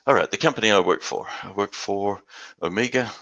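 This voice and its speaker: An older man with an Australian accent giving a webinar presentation, halting and repeating words as he goes. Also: lowish voice, a bit bright, no echo, highs cut off. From Western Australia. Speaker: guest speaker.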